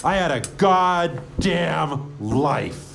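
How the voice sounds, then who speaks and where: A man voicing a bitter, gruff, middle-aged male zombie in an animated film, sullen and emphatic. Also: a little high, drawn-out words, over music, exaggerated voice. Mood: angry.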